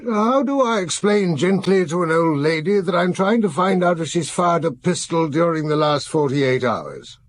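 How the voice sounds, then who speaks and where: A man's voice: on the high side, expressive, moderate pace, hardly any pauses. A man playing a businesslike, deferential police forensic officer in a radio drama, reporting in a respectful, matter-of-fact way. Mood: reluctant, deferential.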